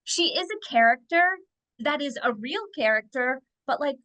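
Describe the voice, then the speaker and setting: Co-host: an eager, chatty woman with a slightly high, bright voice. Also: expressive. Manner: quick, eager, restarts mid-sentence. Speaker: podcast co-host. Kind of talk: casual chat.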